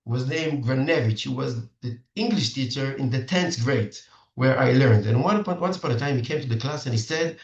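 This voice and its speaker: A middle-aged man with an Israeli accent, a native Hebrew speaker, coaching parents in halting, earnest English full of restarts over a video call. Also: mid-range voice, lively intonation. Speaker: dating coach for singles. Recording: distant, roomy, dull video-call audio.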